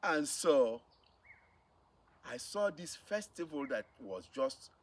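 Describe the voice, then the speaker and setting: A man's voice: on the high side, big pitch swings, slow. A middle-aged man with a Nigerian accent tells a personal testimony as a missionary, speaking emphatically and repeating phrases for effect. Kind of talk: personal testimony, storytelling to camera. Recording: distant mic with faint background noise.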